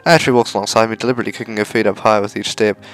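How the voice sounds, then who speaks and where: A man's voice: fairly low pitch, fairly even intonation, rapid-fire, fairly bright tone. A man reading a visual novel aloud as narration for a gaming video. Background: faint music.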